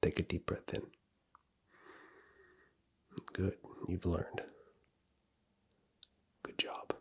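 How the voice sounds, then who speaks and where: Whispering deep-voiced man giving commands. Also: flat delivery, slow pace, gravelly, darkish tone, husky. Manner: commanding and teasing, giving orders and points. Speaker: ASMR roleplay creator. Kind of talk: whispered ASMR roleplay.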